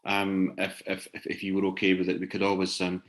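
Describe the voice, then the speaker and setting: Lowish-voiced man in an echoey room. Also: monotone, brisk. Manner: polite, tentative, hesitant. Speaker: discussion host. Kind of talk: unscripted moderating of an online discussion. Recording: roomy, echoing sound.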